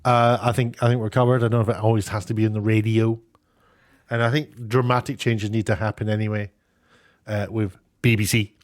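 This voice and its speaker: A man giving casual, off-the-cuff commentary in a halting, rambling way, often breaking off to rephrase. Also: on the low side, little pitch movement, no room sound, clean studio sound. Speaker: online commentator, former broadcast worker.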